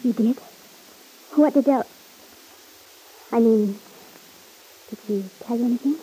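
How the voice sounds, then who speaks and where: A worried, gentle young woman in a vintage radio soap. Her voice is fairly high, soft and mellow, with a breathy husk. She is hesitant and earnest, as if guarding something. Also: slow, exaggerated voice, rough recording. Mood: uneasy. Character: Lisa, anxious, secretive, gentle young woman. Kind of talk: old-time radio serial acting.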